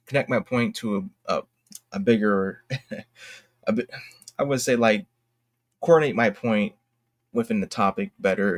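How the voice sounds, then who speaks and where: Low-voiced man giving halting, unscripted commentary. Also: no room sound, studio quality. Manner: halting, self-correcting, with repeated phrases. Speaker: host of an online commentary video. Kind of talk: unscripted opinion commentary from notes.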